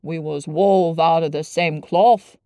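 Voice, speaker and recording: Lady putting on a grumpy old yorkshire gardener, slow and gravelly, dry sound. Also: deep voice, steady tone, dark tone, no room sound. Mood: gruff. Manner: slow, dialect-heavy, grumbling. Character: gruff old Yorkshire gardener, sour and blunt.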